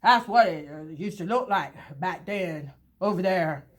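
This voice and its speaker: A woman reading a children's storybook aloud in a theatrical, halting way with fillers. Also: fairly low pitch, lively intonation. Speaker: storybook reader at a library.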